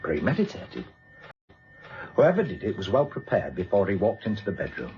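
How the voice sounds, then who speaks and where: Low, sardonic British police inspector. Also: male voice, huge pitch swings, normal speed, muffled recording, soft background music. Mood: musing, deducing. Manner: sardonic, blunt, probing questions. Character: wry, probing senior male police inspector, gruffly sardonic. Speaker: police inspector questioning suspects and directing subordinates. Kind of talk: British radio drama acting.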